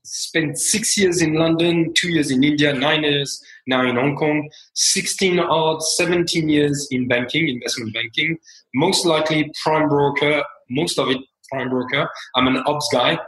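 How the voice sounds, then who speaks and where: A man in his forties from France with a French accent, talking as a founder guest in an interview in a measured, reflective way. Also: a little high, a bit bright, echoey room, poor audio. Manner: measured, reflective, many fillers and restarts. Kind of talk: interview conversation.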